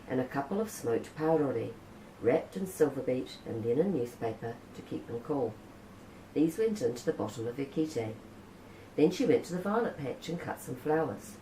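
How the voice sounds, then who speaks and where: A woman reading a picture book aloud in a gentle storytelling manner, saying Maori words naturally. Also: very deep voice. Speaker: children's book reader. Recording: roomy with faint background hiss.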